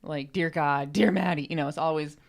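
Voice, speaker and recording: A woman with a notably low-pitched voice chats casually as a podcast co-host. Her delivery is enthusiastic and emphatic, in the loose style of informal conversation. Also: fairly even intonation, a little fast.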